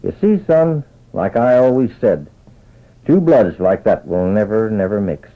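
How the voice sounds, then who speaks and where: Male storyteller as folksy old cowboy, grave and gravelly, on a thin vintage radio recording. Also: lowish voice, animated, voice pushed down. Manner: folksy, dead serious, slow drawn-out words. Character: grave old male western trail hand. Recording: tinny band-limited old radio recording.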